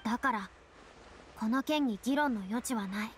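A woman's voice: fairly high pitch, moderate pace, a little hiss or hum, lightly acted. An actress voicing a proud, theatrical young woman, a retired star with boyish turns of phrase, in an anime-style game. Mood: resolute, wistful. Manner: haughty, dramatic, speaks with boyish self-reference. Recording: studio voice over game music.

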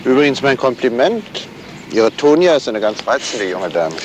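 An actor playing a modest, evasive, polite amateur sleuth in a 1970s German radio crime drama, courteous and deliberate. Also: male voice, mid-range voice, very animated, lightly acted. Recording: noisy old radio play recording.

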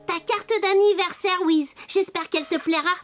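A performer voicing a cheerful, kind little toy boy in a children's cartoon dub, earnest and clearly childlike. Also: very high voice, steady tone, moderate pace. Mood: cheerful, friendly. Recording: music under the voice.

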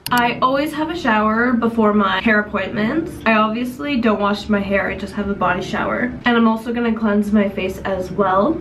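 A woman's voice: mid-range voice, a little hiss or hum, rough recording. A nineteen-year-old woman from Canada in a casual, upbeat vlog, a bit sniffly and off-voice from a cold, full of "like" fillers, filmed in her bedroom on a small camera. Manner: chatty, upbeat, lots of "like" fillers. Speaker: vlogger. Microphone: compact camera on a desk at close range.

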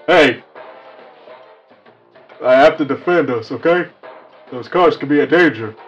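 A man plays an amiable cartoon wolf farmer, pitched high for a male voice. He sounds cheerful and folksy, teasing gently. The recording is slightly dull, with faint music underneath. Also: measured pace, natural voice. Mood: earnest. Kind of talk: improvised cartoon roleplay.

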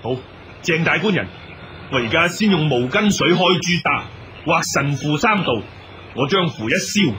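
An actor playing a boastful Buddhist monk exorcist in a radio drama, declamatory and self-assured. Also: male voice, on the high side, animated, normal speed, noisy background, close to an everyday voice. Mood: solemn, incanting. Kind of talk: Cantonese radio drama acting. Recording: music behind the dialogue.

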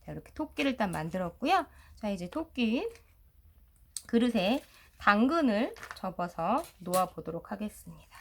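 Middle-aged woman teacher with lively, swooping intonation. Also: medium pitch, moderate pace, slight background noise. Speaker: children's storytelling teacher and video host. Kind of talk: step-by-step craft tutorial.

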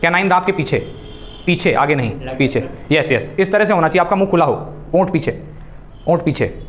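High-pitched Indian man coaching a class. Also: expressive, moderate pace, slight background noise. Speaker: voice and spoken English coach. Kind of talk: classroom instruction with demonstrations. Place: small indoor classroom. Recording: echoey room.

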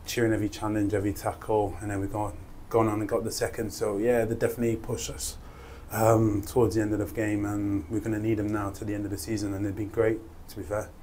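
A man's voice: on the low side, little pitch movement, fast talker, few pauses, mellow, slight background noise. A young man, a footballer giving a post-match interview in a modest, laid-back way with lots of fillers, on a lapel mic indoors. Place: indoor interview room by a sponsor board.

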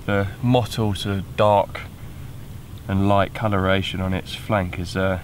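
A man's voice: low voice, little pitch movement, a little slow. A young British man talking casually as an angler in an outdoor fishing vlog. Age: young adult. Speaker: angler presenting a fishing video. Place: outdoors at a lakeside at night. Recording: clear background noise outdoors.

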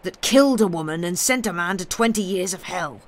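A man voicing a bitter, menacing ex-convict gentleman in an audiobook, declaiming with grim sardonic mockery. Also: high-pitched, animated, fairly quick, a little hiss or hum. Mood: accusing, sardonic.

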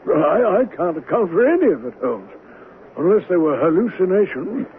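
An actor playing a puzzled, earnest doctor, a detective's companion, speaking formally in a 1970s radio drama. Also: male voice, high-pitched, sing-song, moderate pace. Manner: formal, baffled. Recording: tinny old radio broadcast, faint noise.

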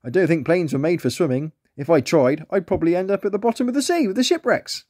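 A man's voice: fairly high pitch, lively intonation, quick pace, darkish tone, no echo, clean studio sound, voice pushed up. A man voicing a young, good-humoured male aeroplane in a children's story. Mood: joking.

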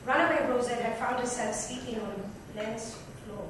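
A woman's voice: a little high, normal speed. A woman giving a dramatic reading of literary fiction. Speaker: book reader. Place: indoor club lounge. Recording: echoey room, distant mic, background noise.

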